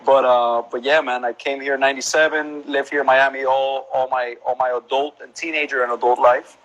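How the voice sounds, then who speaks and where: A laid-back man in his thirties with a light Colombian Spanish accent speaks over a live video call. His voice sits in a middle pitch. He drops in man and bro often and restarts phrases while he gathers his thoughts. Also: fairly quick, lo-fi sound. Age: 30s. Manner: relaxed, calls the host man and bro, repeats words while thinking. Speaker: photographer and business owner. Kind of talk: casual interview chat. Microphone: phone or laptop on a live video call.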